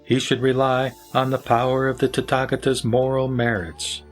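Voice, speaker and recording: A man's voice: mid-range voice, level tone, moderate pace, soft background music, pitched above the performer's own voice, natural voice. A man voicing a wise lay teacher who answers solemnly and formally in a scripture audio drama. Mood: solemn teaching. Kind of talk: audio drama sutra recitation.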